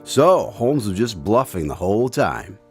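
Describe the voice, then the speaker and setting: A man's voice: on the low side, very animated, unhurried, darkish tone. A man hosting a radio drama in a scripted style, chatting about the story and reading the credits. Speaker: radio drama host and announcer. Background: music under the voice.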